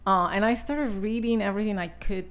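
A woman in her sixties from Italy, speaking English with an Italian accent as a podcast guest, talking in a halting way with many fillers and restarts. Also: mid-range voice, a bit bright. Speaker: podcast guest, spiritual teacher. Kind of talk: casual conversation.

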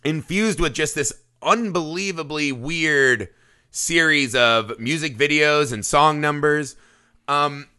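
Male podcast host, slightly high, bright-toned, excitable, laughs a lot. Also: slow, clear, resonant tone. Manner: excitable, laughs often, frequent restarts and swearing. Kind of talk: casual film podcast chat.

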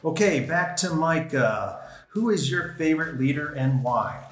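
A woman's voice: low voice, lively intonation, unhurried. A woman interviewing young students, encouraging and affirming as she moves from question to question. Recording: echoey room with music behind.

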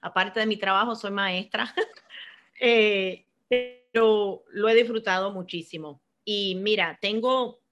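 A woman's voice: medium pitch, slow, fairly bright tone, dull audio. A middle-aged woman, answering interview questions in an earnest, reflective way and often repeating words as she searches for the next one. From born in Cuba, raised in Miami. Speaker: interview guest, finance professional.

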